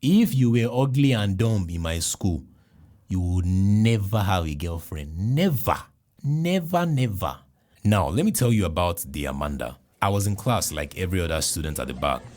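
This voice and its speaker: A man with a Nigerian accent, a podcast host telling a comic personal story in an animated, jokey way with exclamations. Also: sits low, moderate pace.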